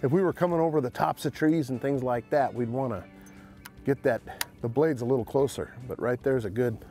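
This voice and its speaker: A middle-aged man hosting a fishing show, explaining tackle in a folksy way from a bass boat on an open lake. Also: brisk, soft background music. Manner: folksy, excited when landing fish. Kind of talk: outdoor fishing instruction.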